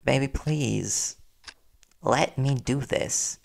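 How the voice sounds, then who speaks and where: A man playing a worried, devoted young reporter boyfriend in an intimate audio roleplay, speaking earnestly and soothingly with hesitant pauses. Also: medium pitch, vocal fry, heavily acted. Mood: urgent pleading.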